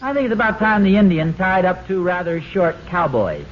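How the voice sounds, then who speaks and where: A man's voice: high voice, very animated, normal speed. An actor playing a playful, put-upon American suburban father in a 1940s radio comedy, with a hesitant, wry manner. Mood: wry, weary. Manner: hesitant, wry, excuse-making. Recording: muffled, noisy old radio recording.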